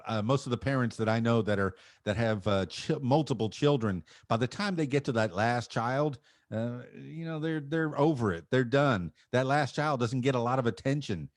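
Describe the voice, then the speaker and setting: A man in his fifties from Miami talks as a podcast guest. He is thoughtful, with a wry, self-mocking humor. His voice has a medium pitch and sounds dry, with no room echo. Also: moderate pace, hardly any pauses. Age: 50s. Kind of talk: casual interview chat.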